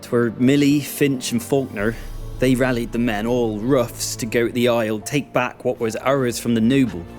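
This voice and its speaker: An actor playing an anguished, guilt-ridden young village fisherman in a video game, halting and emotional, speaking in rustic dialect. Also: mid-range voice, fairly even intonation, a little mellow, soft background music, lightly acted. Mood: sorrowful, confessing. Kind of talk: video game dialogue acting.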